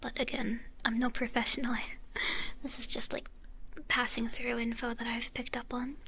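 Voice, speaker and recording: A young woman from the United States, a VTuber whispering through an ASMR livestream, greeting her chat softly with little kiss sounds. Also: on the high side, little pitch movement, normal speed, vocal fry, husky. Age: young adult. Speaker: VTuber streamer.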